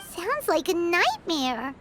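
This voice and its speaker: A woman voicing a small childlike fairy companion in a video game, squeaky, blunt and cutely exaggerated. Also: sing-song, slow, exaggerated voice. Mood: dismayed. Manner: cute exaggerated delivery, refers to herself in third person. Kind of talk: video game character acting. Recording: background music under the voice.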